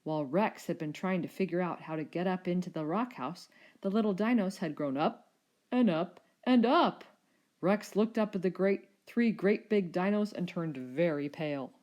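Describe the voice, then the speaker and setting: A woman with a fairly low voice narrates a children's tale. Her reading is expressive and scripted, as a storyteller for young listeners. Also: moderate pace.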